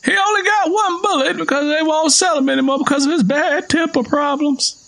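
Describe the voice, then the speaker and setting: A man's voice: extremely high pitch, steady tone, a little fast, vocal fry, fairly bright tone, pitched above the performer's own voice, full character voice. A man voicing a stern, stubborn old Southern father in an audio story, speaking bluntly and insistently. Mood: accusing, stern. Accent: American South. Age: older.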